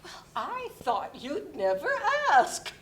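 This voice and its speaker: A woman playing a kind, motherly neighbour, gentle, expressive and encouraging, in a staged reading of a play. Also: slow pace, exaggerated voice. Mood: fond, amused. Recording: live recording with faint rumbling noise.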